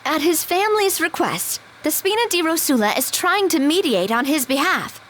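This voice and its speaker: A woman's voice: high voice, very animated, moderate pace, fairly bright tone, a little hiss or hum. An actress voicing an earnest young woman in a game who mediates firmly but politely for an overworked cook.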